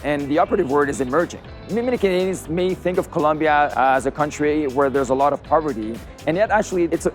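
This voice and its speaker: A man from Chile, a senior trade commissioner, speaking in an earnest, measured interview tone. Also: a little high. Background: background music.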